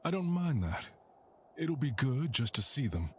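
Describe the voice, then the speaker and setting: An actor playing a gentle, courteous, wistful man far from home in a mystery game. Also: mid-range voice, sing-song, measured pace, slight background noise. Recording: background ambience under game dialogue.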